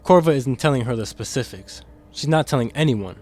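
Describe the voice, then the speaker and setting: A man's voice: mid-range voice, expressive, brisk, darkish tone, close to an everyday voice. Man playing a guarded, guilt-ridden demon insider, music behind. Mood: discreet, earnest. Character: guarded, conscience-stricken demon insider smuggling secrets to captive. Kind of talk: audio drama acting.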